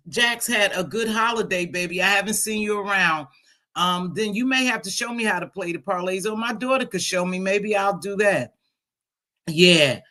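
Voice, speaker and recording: A middle-aged woman with a deep voice hosting a casual live stream, talking bluntly and sassily to her viewers. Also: fairly bright tone. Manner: blunt, sassy, talks straight to viewers. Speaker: live stream host reading chat.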